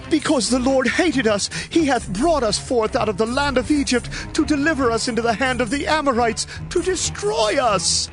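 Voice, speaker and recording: A man's voice: very high voice, animated, moderate pace, hardly any pauses, a bit bright, soft background music, exaggerated voice. An actor playing a fretful, complaining Israelite man in a theatrical, agitated scripture drama. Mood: bitter, agitated.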